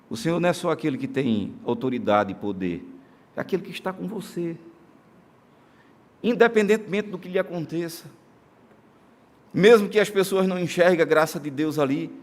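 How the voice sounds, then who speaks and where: A male pastor with a Brazilian accent preaching a sermon in a church, earnest, moving between emphatic declarations and soft, slow solemn passages. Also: a little high. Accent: Brazilian Portuguese. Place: church sanctuary during a live-streamed service.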